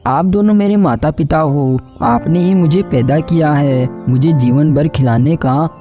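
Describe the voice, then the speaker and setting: A lazy, defiant young man in a cartoon , voiced by an actor, with a fairly high voice and a mellow tone. He sounds insolent and selfish. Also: measured pace, faint music behind, lightly acted. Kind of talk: Hindi cartoon voice acting.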